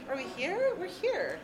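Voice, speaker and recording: A woman's voice: sits high, background noise. A young woman from Peru giving a candid, earnest personal testimony as a workshop presenter, with light nervous laughs, in a room with an audience and no handheld mic. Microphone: no handheld mic, room recorder.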